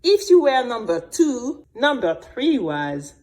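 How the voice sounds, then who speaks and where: A woman voicing an oily, scheming male company president in an audio drama, condescending and deliberately soothing. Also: on the high side, big pitch swings, a little mellow. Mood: smug, reassuring.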